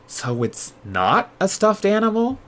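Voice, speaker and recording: A man's voice: sits high, huge pitch swings, unhurried, a bit bright, pitched above the performer's own voice, heavily acted. A man voicing a startled, puzzled schoolboy from a storybook, exclaiming in confusion. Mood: perplexed. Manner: exclaiming, perplexed.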